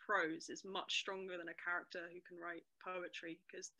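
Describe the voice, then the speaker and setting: Bright-voiced young woman. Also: lowish voice, moderate pace. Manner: lively, fluent, with frequent ums. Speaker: guest, storyteller and museum guide. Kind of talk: casual interview chat.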